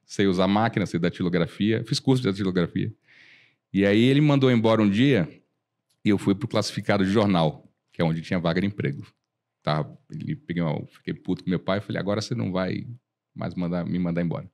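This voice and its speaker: A Brazilian man in his forties, a lawyer guest on a podcast, telling stories in a lively way and acting out old conversations. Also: low-pitched, fairly quick, creaky. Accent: Brazilian Portuguese. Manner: animated storyteller, acts out dialogue, frequent restarts. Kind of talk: casual storytelling interview.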